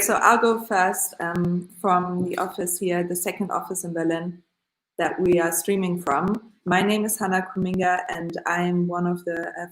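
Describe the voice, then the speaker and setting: A woman founder speaking enthusiastically at a panel, joining remotely from an office. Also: on the low side. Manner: enthusiastic, even-toned. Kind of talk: casual panel introduction over a stream. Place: office room. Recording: echoey remote office line.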